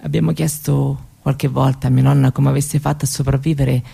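A woman's voice: very deep voice, little pitch movement, brisk, vocal fry, mellow, slightly rough, heavily acted. An actress playing a young Roma woman who shyly tells her grandmother's story in a hesitant, conversational theatre monologue. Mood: grave, sorrowful. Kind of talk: theatrical monologue told as spoken testimony.

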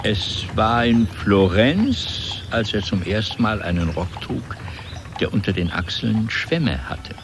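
A man narrating a radio drama in a literary, scripted style with deliberate phrasing. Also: lowish voice, expressive, noisy background.

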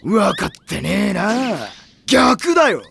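A man's voice: high voice, very animated, slow pace, gravelly, noisy background, heavily acted. A man playing a hot-blooded, melodramatic teenage volleyball boy in an anime audio drama, prone to bombastic outbursts. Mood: eager, persuasive.

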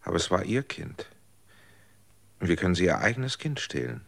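An actor playing a tempter who keeps asking quiet, probing questions. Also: deep voice, sing-song, moderate pace, creaky, exaggerated voice. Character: tempter offering extra time of life. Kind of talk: radio drama acting.

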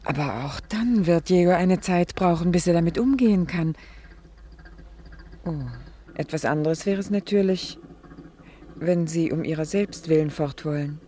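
A refined middle-aged lady of standing, acted by a woman in a radio drama. Her voice is soft-edged and dark. She speaks formally and politely, with an anxious concern beneath it. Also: on the low side, unhurried, slight background noise, natural voice. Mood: politely insistent. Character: governor's genteel, anxious middle-aged wife. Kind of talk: German radio play acting.

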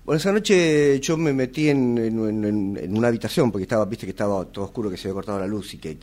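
An actor in a radio theatre exercise playing a nervous, hesitant husband, stammering and repeating himself with an Argentine accent. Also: male voice, fairly low pitch, fast, a little mellow, natural voice. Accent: Argentine, Rioplatense. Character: nervous husband haltingly confessing an old affair. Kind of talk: radio theatre workshop acting.

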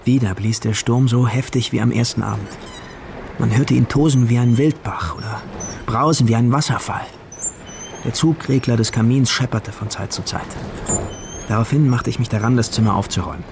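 An actor playing a lovestruck young male student who tells his story in hushed, hesitant literary narration for a radio play. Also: medium pitch, normal speed, gravelly, noisy background, natural voice. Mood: wistful, dreamy. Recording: radio drama production with music behind.

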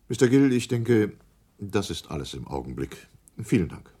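A measured, official committee chairman. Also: lowish voice, big pitch swings, warm tone, husky. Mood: formal. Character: formal male committee chairman. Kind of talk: 1980s radio drama acting.

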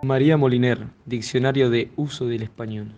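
A man's voice: medium pitch, fairly even intonation, fairly quick. A man reading a scripted dictionary announcement as an announcer. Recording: dull sound over loud music.

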